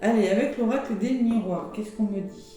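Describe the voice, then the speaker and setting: A hoarse woman chats through a weekly oracle card reading, with plenty of fillers and exclamations. Her voice sounds mellow and dark. It comes from a very echoey room with faint music behind her. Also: medium pitch, animated, moderate pace. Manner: chatty, with many fillers and exclamations. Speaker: tarot and oracle card reader.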